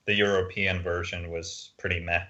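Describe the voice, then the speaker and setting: Low, bright-voiced man chatting casually. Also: little pitch movement, moderate pace, lo-fi sound. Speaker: podcast panellist. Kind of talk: casual chat.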